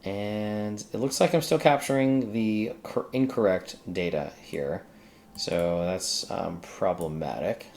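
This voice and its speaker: Very deep, gravelly man thinking aloud. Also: a little mellow. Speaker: tutorial host, accountant who codes. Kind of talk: casual screen-recorded coding walkthrough, thinking aloud.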